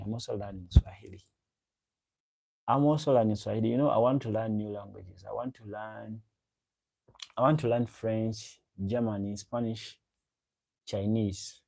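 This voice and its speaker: Gravelly-voiced man chatting on a livestream. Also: on the low side, mellow. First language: a local African language. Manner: rambling, repeats himself, chats directly to viewers. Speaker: livestream host. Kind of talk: casual chat reading live comments.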